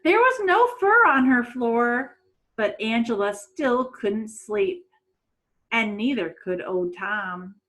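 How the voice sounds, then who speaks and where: A woman reading a children's picture book aloud in a dramatic, deliberate storytelling manner. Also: a little high, animated, a bit bright, low-quality recording. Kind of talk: expressive storybook read-aloud.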